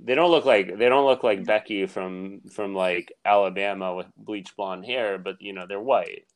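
Low-voiced man in earnest, rambling chat. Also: moderate pace. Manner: earnest, rambling with many restarts. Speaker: podcast co-host. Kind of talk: casual political chat.

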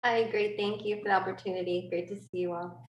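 A woman's voice: mid-range voice, fairly even intonation, a little fast. A bilingual English and Spanish speaking woman from California, answering conversationally as a podcast guest. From California, by the Mexican border. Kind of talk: reflective conversational interview answer. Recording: echoey room with background noise.

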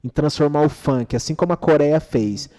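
Mellow Brazilian man in a reverberant room. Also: fairly high pitch, moderate pace. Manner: slangy, rhetorical, frequent check-in questions. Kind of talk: casual, opinionated podcast conversation.

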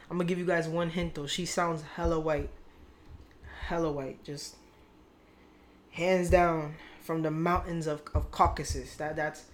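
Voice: mid-range voice, slight background noise. A young adult vlogger telling a story to viewers in a candid, rambling way, full of slang and swearing.

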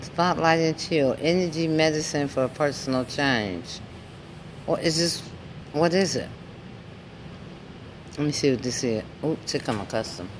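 A woman's voice: deep voice, background noise. A woman with an American accent chatting informally and familiarly as a shopkeeper and podcast host. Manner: informal, folksy, addresses people familiarly. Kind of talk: casual chat with customers and listeners. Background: music.

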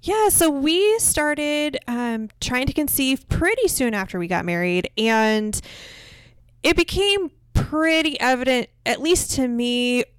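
A chatty, upbeat woman from Illinois talks as an interview guest, often laughing. Her voice sits fairly high and sounds smooth and clear. The sound is dry and close, with no room around it. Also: animated, slow pace, no echo. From central Illinois. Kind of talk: casual interview conversation.

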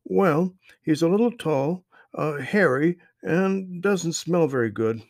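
A cheerful, helpful young boy with a high, eager voice, as a man reading a storybook puts him on. The tone stays gravelly and husky underneath, in a dry, close sound. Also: lively intonation, takes it slowly, no room sound. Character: young boy Marvin, friendly, cheerful helper.

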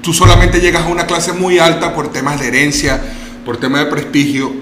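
A man's voice: a little high, little pitch movement, brisk, reverberant, background noise. A man from Venezuela, a guest in a casual interview, talking in a rambling way with many restarts and tag questions.

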